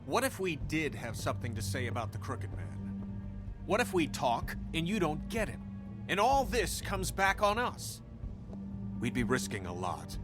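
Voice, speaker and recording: A man's voice: a little high, sing-song, normal speed, music in the background. A man playing a defensive, suspicious fairytale husband in a dramatic video game. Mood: wary, defensive. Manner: indignant, suspicious. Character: touchy, defensive husband, adult fairytale man living beyond means.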